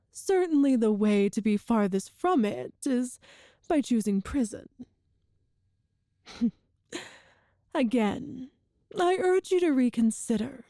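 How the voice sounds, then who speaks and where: A cruel and seductive empress, acted by a woman in an audio roleplay, speaks slowly in a soft, mellow, fairly high voice. Her delivery is regal and teasing, with formal, calculated phrasing, recorded close and dry. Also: no echo. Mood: silky, persuasive. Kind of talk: scripted audio roleplay, ASMR-style monologue to the listener.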